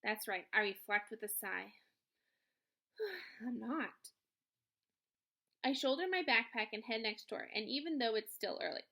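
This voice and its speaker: High-pitched, animated woman reading aloud. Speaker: read-aloud storyteller. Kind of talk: expressive children's novel narration.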